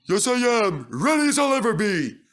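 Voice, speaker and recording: A man's voice: high-pitched, big pitch swings, normal speed, fairly bright tone, voice pushed up. Male reader as a long-suffering talking steam engine for a children's story, sighing yet game. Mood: spirited. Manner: mournful, sighing. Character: glum, put-upon male steam engine with a plucky streak.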